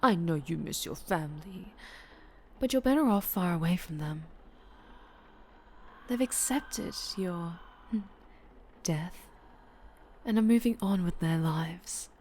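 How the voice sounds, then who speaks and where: Woman playing a slow, possessive dark-angel superhero. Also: on the low side, expressive. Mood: sinister, coaxing. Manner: slow, breathy, often dropping to whispers. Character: possessive, obsessive female winged dark-angel superhero, sinister yet doting. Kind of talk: intimate ASMR roleplay acting.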